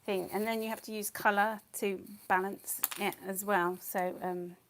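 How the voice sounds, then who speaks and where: A woman's voice: medium pitch, steady tone, moderate pace, a little hiss or hum. Chatty woman muttering while she paints. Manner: chatty, rambling, thinks aloud, often mutters under her breath. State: losing her voice. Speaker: watercolour artist teaching. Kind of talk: casual live painting talk.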